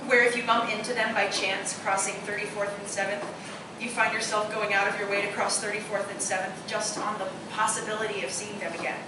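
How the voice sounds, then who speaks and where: A woman's voice: medium pitch, flat delivery, a little fast, natural voice. A woman acting in a live radio drama, playing a blunt, skeptical woman who still hopes for love. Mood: wistful, earnest. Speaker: actor. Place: roomy live performance space, far from mic. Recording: very echoey, distant live recording, clear noise.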